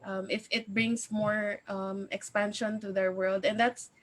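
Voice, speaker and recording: A woman host interviewing a coach, speaking haltingly with many fillers and frequent giggles. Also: medium pitch, little pitch movement. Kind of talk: casual interview chat.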